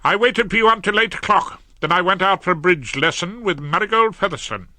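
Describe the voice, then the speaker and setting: A man's voice: high-pitched, expressive, a little fast, bright, forceful tone, no room sound, pitched above the performer's own voice, full character voice. A man reading an audiobook, voicing a stern, domineering middle-aged wife who speaks in a clipped, reproachful way. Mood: indignant. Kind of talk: audiobook character voice.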